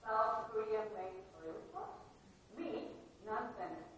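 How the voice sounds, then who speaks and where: A woman's voice: on the high side, takes it slowly, a bit bright. Lady acting young refugee girl, evasive and indignant, stage reading, far from mic in echoey hall w faint noise. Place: live reading in an echoey performance space.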